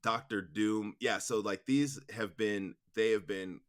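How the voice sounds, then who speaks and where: A man's voice: on the low side, unhurried. A man speaking casually as an interview guest, rambling through stories with slangy asides. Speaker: interview guest, audio drama producer.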